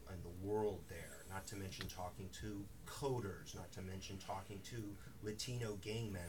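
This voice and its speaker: A man, an author at a bookstore event, speaking thoughtfully in a rambling way with many restarts and asides. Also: deep voice. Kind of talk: live talk with audience Q&A. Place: bookstore reading room. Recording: distant mic in a noisy room.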